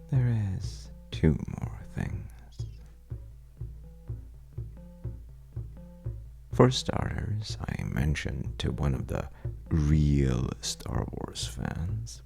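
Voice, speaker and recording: A man playing a charming, shifty stranger at a bar in an intimate audio roleplay, telling wry, teasing tales in a hesitant, gravelly way. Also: darkish tone, full character voice. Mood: sly, wry. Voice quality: very deep, gravelly, breathy at times. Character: well-dressed, untrustworthy charming male stranger at a bar, bragging playfully.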